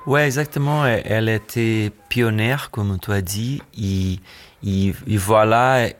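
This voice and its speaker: A Brazilian man speaking French with a Brazilian accent, a musician guest in a radio studio interview, pausing often to search for words. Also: on the low side, soft background music. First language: Brazilian Portuguese.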